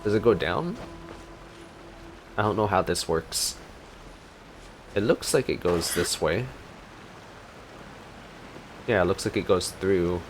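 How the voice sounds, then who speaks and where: A man's voice: sits low, lively intonation, slow, pauses often, faint music behind, rough recording. A man giving casual gameplay reaction commentary, musing and thinking aloud as he plays. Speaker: gameplay streamer.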